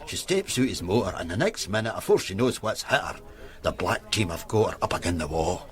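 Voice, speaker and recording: A man's voice: deep voice, lively intonation, brisk. An actor playing a shifty male informant with a Glasgow accent who talks in a sly, wheedling, hesitant way. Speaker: police informant. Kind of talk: radio drama acting.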